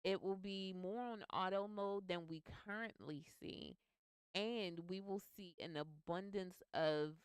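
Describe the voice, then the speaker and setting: A woman co-hosting a relaxed couple's chat show, outspoken and playful with lively slang. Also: lowish voice, clean tone, dry recording. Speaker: co-host, business teacher.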